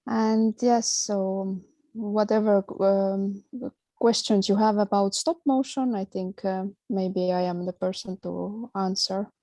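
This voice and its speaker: Slow, mellow-voiced woman on a call. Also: medium pitch, steady tone, dry recording. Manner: slow, hesitant, many fillers. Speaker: stop motion teacher and project coordinator. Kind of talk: online info session talk. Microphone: video call.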